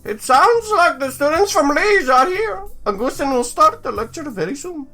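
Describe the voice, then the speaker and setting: Man putting on a high, drawn-out female guide voice. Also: animated, sharp tone, soft background music, exaggerated voice. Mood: courteous, instructive. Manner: slow, drawn-out, instructive delivery. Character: polite, businesslike young female archaeology staff guide.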